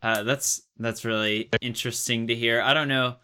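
A young man interviewing someone casually, feeling his way through questions in a tentative, halting manner. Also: mid-range voice, steady tone, sharp tone, clean tone. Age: young adult.

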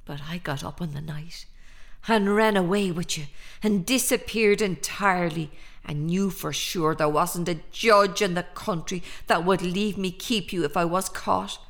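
An Irish mother in a radio play. She speaks slowly and intensely, with a lyrical storytelling lilt. She is haunted and fiercely protective of her child. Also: lowish voice. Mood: tender, haunted. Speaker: actor. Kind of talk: radio drama monologue and dialogue.

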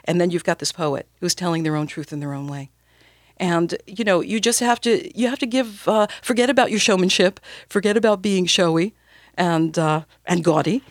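A woman who works as a radio newscaster, speaking conversationally in an interview with expressive, theatrical, emphatic phrasing. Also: on the low side, dry recording, studio quality.

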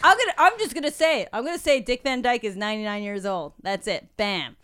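Woman co-host, high smooth voice, self-mocking banter. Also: animated, fast talker, fairly bright tone. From China. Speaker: podcast co-host. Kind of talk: casual chat.

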